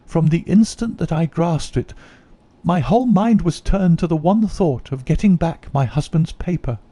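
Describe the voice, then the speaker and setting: Male reader as guilty young noblewoman, earnest, confessional, mellow tone. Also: fairly high pitch, animated, normal speed, no echo, voice pushed up. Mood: remorseful.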